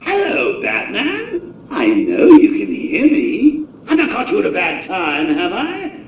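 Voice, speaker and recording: Theatrical clown villain, high, swooping, echoey, far off. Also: male voice, huge pitch swings, unhurried, phone-quality sound, slight background noise, heavily acted. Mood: taunting. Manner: theatrical, taunting showman. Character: gleeful, murderous grown man clown criminal. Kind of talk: video game voice acting.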